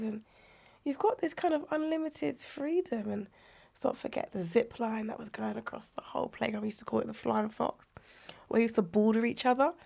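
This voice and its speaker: Woman, croaky from illness, raspy, fairly high, breathy half-whispers. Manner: animated storytelling, drops to breathy near-whispers. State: unwell, croaky voice. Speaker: guest, inclusive play advocate. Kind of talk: conversational podcast interview.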